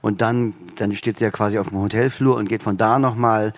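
A man with a fairly low voice explains step by step how he edited the sound of a radio piece. He talks in a plain, explanatory way, with frequent pauses and fillers. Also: steady tone. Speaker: workshop participant, sound editor. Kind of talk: explaining his sound editing.

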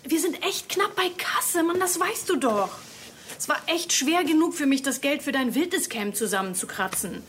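High, youthful worried mother. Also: animated, normal speed, fairly bright tone, a little hiss or hum. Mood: dismayed, reproachful. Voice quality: youthful, teenage-sounding voice. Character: worried, reproachful but loving young-sounding mother. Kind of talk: children's audio drama acting.

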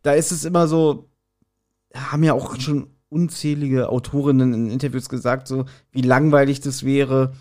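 A man's voice: medium pitch, level tone, normal speed, a little mellow. Casual, explanatory man co-hosting a podcast. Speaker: podcast co-host.

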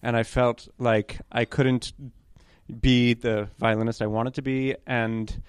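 A man's voice: mid-range voice, little pitch movement, takes it slowly, smooth, no room sound, clean studio sound. A young man from New York telling his story in a chatty interview, often correcting himself and adding apologetic asides. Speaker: guest conductor candidate.

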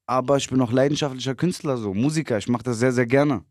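A man in his twenties from Berlin, a rapper guest giving relaxed, short answers with easy laughs. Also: mid-range voice, quick pace, dry recording, studio quality. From Berlin, Germany. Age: 20s. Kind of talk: casual interview chat.

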